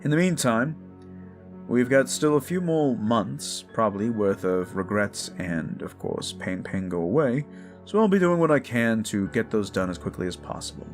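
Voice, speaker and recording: A man's voice: fairly low pitch, lively intonation, moderate pace, faint music behind. A man giving a casual, unscripted update to his listeners, under the weather with a sore throat and runny nose. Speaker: audio roleplay creator.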